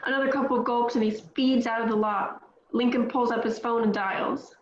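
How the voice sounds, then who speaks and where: A woman with a somewhat high voice reads stage directions in a plain, measured way. The recording is roomy and a bit dull, with a faint hiss underneath. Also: steady tone. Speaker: narrator reading stage directions. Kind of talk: table read narration.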